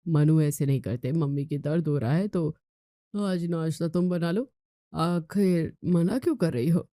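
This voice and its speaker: A woman's voice: low-pitched, a little slow, mellow, no echo, clean studio sound. A woman voicing a reproachful young husband who reasons with his family in a storybook tale. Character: reproachful young husband, son caught between wife and mother.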